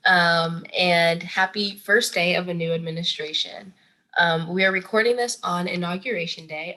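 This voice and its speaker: Young woman co-host, bright tense voice, brisk scripted intro. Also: on the low side, low-quality recording. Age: young adult. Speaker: podcast co-host. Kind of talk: podcast hosting.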